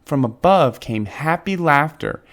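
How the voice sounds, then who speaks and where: A man's voice: a little high, lively intonation, unhurried. A man reading a children's storybook expressively, with dramatic emphasis on key words. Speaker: children's book reader. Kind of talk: expressive scripted storybook narration.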